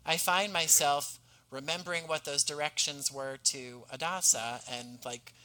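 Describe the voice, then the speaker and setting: A man's voice: fairly high pitch, steady tone, unhurried, bright, forceful tone, a little hiss or hum, low-quality recording. A man chatting casually as a podcast guest, talking as an audio drama creator. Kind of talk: casual chat.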